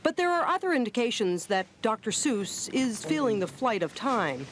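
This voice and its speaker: A woman's voice: a little high, sing-song, moderate pace, a little hiss or hum. An American woman narrating a scripted television news report from the 1980s. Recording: 1980s archive TV news audio.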